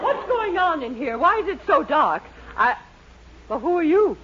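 A woman's voice: high-pitched, very animated, normal speed, fairly bright tone, a faint crowd. An actress playing a stern, vain middle-aged mother, indignant and bossy, in a 1940s radio sitcom. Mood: puzzled. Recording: muffled old radio, hiss, studio audience.

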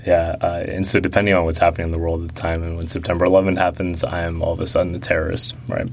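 This is a young man with a deep, low voice, answering an interviewer's questions in a relaxed, reflective way. He hesitates often, leans on fillers and restarts his sentences. A faint background hiss sits under the recording. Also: fast, creaky.

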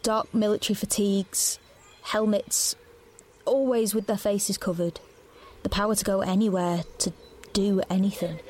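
An actress playing an anxious, watchful thirteen-year-old in a dystopian audio drama, earnest and hesitant. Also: female voice, medium pitch, fairly even intonation, normal speed, a little mellow, lightly acted. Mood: tense, haunted. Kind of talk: first-person audio drama narration and dialogue.

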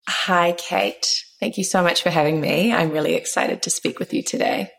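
Low-pitched new lady, kinda gravelly, talks things through with lots of "kind of". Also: steady tone, normal speed. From New Zealand. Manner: thoughtful, frequent hedges like "kind of". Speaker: guest, founder and consultant. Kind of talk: reflective interview conversation.

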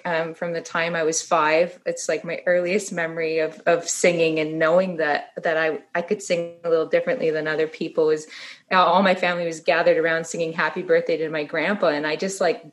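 Low-voiced woman telling her story. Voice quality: low voice for a female singer. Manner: chatty, frequent "um" and "like", drops quieter on hard topics. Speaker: guest singer-songwriter. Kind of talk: casual interview storytelling.

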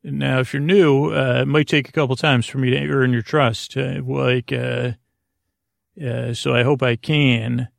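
A man hosting a bedtime podcast in a rambling, improvised monologue, meandering and halting through whimsical digressions in a gravelly voice. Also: mid-range voice, fairly even intonation, no room sound, studio quality. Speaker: podcast host.

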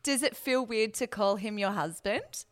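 A married woman co-hosting a casual interview, friendly and quick to laugh as she asks follow-up questions. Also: mid-range voice. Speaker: interview co-host.

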